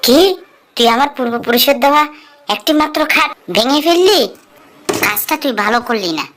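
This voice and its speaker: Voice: high voice, animated, a little fast, a bit bright, a little hiss or hum. A performer with a woman-like voice plays a stern, sensible elder mouse uncle in a cartoon dub, admonishing and giving advice. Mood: outraged. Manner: admonishing, instructive.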